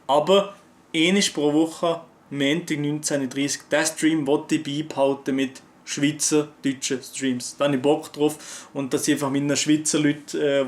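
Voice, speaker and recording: A young Swiss man answers viewer comments in a relaxed, rambling way. His voice sits a little high for a man. His talk is loose and slangy, with plenty of fillers and restarts. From Switzerland. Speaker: YouTuber. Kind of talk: casual chat reading viewer comments.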